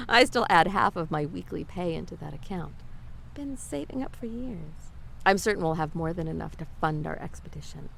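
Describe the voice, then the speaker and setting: A woman playing a determined, self-assured researcher in an audio drama. Her voice sits slightly low with plenty of fry and very animated intonation. Ambient noise runs behind her. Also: normal speed, low-quality recording. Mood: amused, confident. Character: determined, confident female researcher and translator, easily exasperated. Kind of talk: audio drama roleplay acting.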